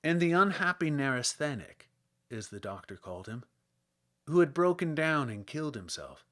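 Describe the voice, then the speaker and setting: A man reading literary fiction as an audiobook narrator, with a measured, dramatic storytelling delivery. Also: fairly high pitch, big pitch swings, a bit bright. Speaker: audiobook reader.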